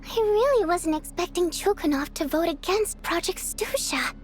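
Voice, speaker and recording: A woman voicing a youthful, earnest and thoughtful female companion in a story game, explaining things in a measured way. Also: very high-pitched, lively intonation. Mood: earnest, explanatory. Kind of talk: scripted game story dialogue.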